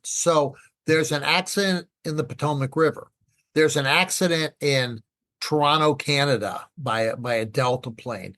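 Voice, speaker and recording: A man's voice: mid-range voice, a bit bright. Man explaining with emphatic repetition. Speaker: podcast guest, air traffic expert. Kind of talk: conversational explaining.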